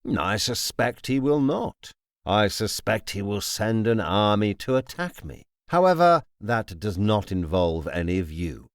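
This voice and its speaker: A man playing a composed, imperious necromancer lord in an audiobook, speaking formally and with measured, polite menace. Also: on the low side, sing-song, clean tone, dry recording, studio quality. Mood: calm, commanding. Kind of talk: audiobook character acting.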